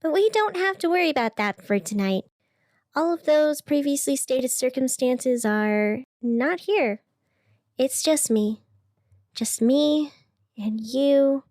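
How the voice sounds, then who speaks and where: A woman's voice: high-pitched, a little mellow, dry recording, clean studio sound. A woman playing a wistful, self-doubting night radio host in a scripted audio drama, speaking in an earnest, confiding way. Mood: gentle, welcoming.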